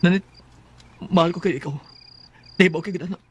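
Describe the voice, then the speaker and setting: Actor as a pleading, fast-talking Bisaya husband. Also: male voice, sits high, steady tone, dull audio, slight background noise, natural voice. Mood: hurt, pleading. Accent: Filipino Bisaya. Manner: hesitant, pleading. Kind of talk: Filipino radio drama acting.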